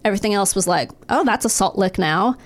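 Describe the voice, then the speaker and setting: A woman's voice: medium pitch, fast talker. Woman co-host, sardonic and profane, reads medical notes aloud while recovering from throat surgery. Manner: wry, profane, deadpan asides while reading. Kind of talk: casual chat, reading medical info aloud.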